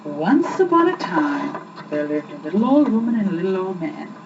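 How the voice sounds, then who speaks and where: A young woman reading children's stories aloud in an expressive, rhythmic storytelling style, on an old muffled home recording. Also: fairly low pitch, normal speed. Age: young adult. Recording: muffled, noisy 1980s home recording, distant mic.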